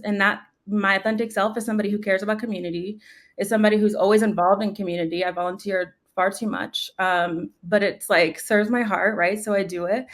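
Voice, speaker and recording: Chatty young woman from New York. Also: medium pitch. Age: young adult. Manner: warm, chatty storytelling with frequent "like" and "um". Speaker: panel guest. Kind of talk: conversational panel talk.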